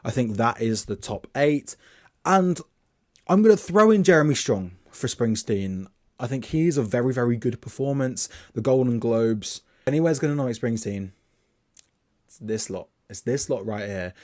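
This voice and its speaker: A man's voice: mid-range voice, expressive, moderate pace, a little mellow, dry recording, clean studio sound. A young man casually talking through his film award predictions, chatty and loose, with plenty of restarts, reading from his notes. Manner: reads his list off notes.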